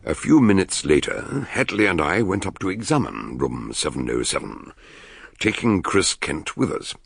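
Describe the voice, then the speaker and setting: A man playing an eccentric, shrewd detective doctor in a radio drama, deliberate and musing as he questions and narrates. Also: sits low, sing-song, gravelly, fairly bright tone, breathy edge, heavily acted. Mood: inquisitive. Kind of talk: radio drama acting and first-person narration.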